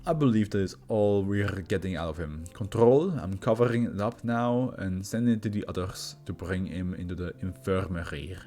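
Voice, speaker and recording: Man playing a male research doctor, low voice, formal, clinical, detached, polite, audio story experimenter. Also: moderate pace, hardly any pauses, dark tone. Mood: clinical, composed.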